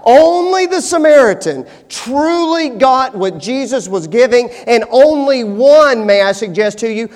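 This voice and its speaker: A middle-aged male pastor preaching a sermon, shifting from hushed, slow stretches to emphatic, rising appeals. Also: high voice. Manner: dynamic, swings from hushed to emphatic. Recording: long hall reverb.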